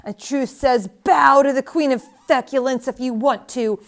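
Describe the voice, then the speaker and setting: A woman voicing an ancient, withered old woman who yells defiant, scornful accusations in a storybook reading. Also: high voice, pitched above the performer's own voice. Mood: furious, scornful. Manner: yelling, emphatic, drawn-out denunciation.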